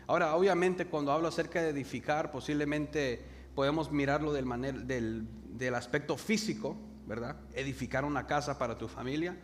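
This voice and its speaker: A young man from El Salvador with a Salvadoran Spanish accent, preaching a sermon and calling the congregation to repeat words after him. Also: fairly high pitch. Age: young adult. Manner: calls the congregation to repeat words, slows for emphasis. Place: church hall. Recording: reverberant hall with faint noise.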